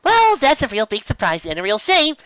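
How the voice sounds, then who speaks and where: Lady doing a pushy brisk pony girl, pitched up, fake-cheery, dull lo-fi sound. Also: huge pitch swings, fairly bright tone, dry recording. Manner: brisk, impatient, falsely cheery. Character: eager, bossy young female unicorn pony scholar.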